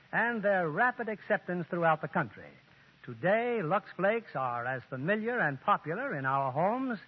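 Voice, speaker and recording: Formal guy hosting old 1930s radio show, high voice, dull muffled recording. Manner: formal, oratorical. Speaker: radio drama host and sponsor pitchman. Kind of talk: 1930s radio scripted presentation.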